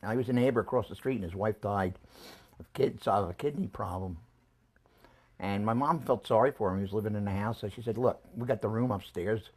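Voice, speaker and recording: Older man, hoarse, halting family reminiscing, chuckles between stories. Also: fairly low pitch. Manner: halting, many restarts and chuckles. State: losing his voice. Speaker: interviewee recalling family history. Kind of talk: casual family interview.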